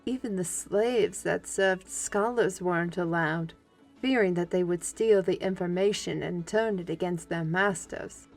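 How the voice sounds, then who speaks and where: A woman's voice: lowish voice, unhurried. Woman playing genteel half-beast scholar woman, music behind. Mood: solemn, reflective. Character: learned half-blood animal woman, former slave, genteel and gracious. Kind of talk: fantasy audio drama acting. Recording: background music under the voice.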